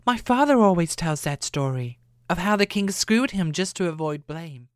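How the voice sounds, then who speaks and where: A woman's voice: on the low side, expressive, normal speed, close to an everyday voice. A woman playing an earnest, sympathetic character in acted dramatic dialogue.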